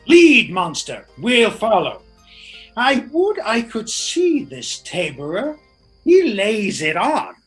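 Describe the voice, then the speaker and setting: A man's voice: high-pitched, huge pitch swings, slow pace, slightly rough, faint music behind, full character voice. A man playing a drunken, swaggering butler with a blustering, boastful manner in a Shakespearean radio play. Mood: smug swagger. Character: drunken, swaggering butler turned would-be island king.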